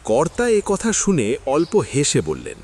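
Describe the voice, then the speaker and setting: A man narrating a classic story in an audio drama, with expressive, dramatic storytelling. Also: a little high, measured pace, husky.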